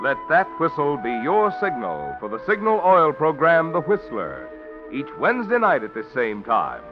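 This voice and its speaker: A man announcing a 1940s radio drama with sponsor messages, smooth and persuasive. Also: a little high, wildly swooping pitch, normal speed. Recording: dull old radio broadcast with music.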